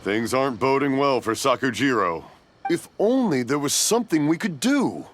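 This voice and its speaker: Guy voicing weary old dad in a video game, sighs a lot, worried, lively pitch. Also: moderate pace, a little hiss or hum, lightly acted. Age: older. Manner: sighing, regretful. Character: hard-of-hearing old father, weary and concerned.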